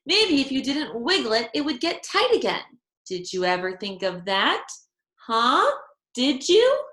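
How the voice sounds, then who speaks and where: A feisty, chatty little girl from a children's storybook, acted out by a woman reader. The voice is high and swoops around with childish, emphatic stubbornness. It sounds recorded in an echoey room. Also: very animated, a little slow, sharp tone, exaggerated voice. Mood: bickering, stubborn. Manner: childish emphatic delivery with kid grammar. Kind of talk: children's storybook read-aloud.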